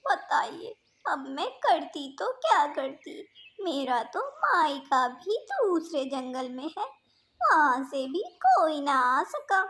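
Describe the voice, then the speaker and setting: A woman's voice: sits high, very animated, slow, long pauses, fairly bright tone, heavily acted. A woman voicing a dramatic, crafty crow wife in a cartoon, whining and pleading in an exaggerated way. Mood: tearful complaining. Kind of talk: Hindi cartoon voice acting.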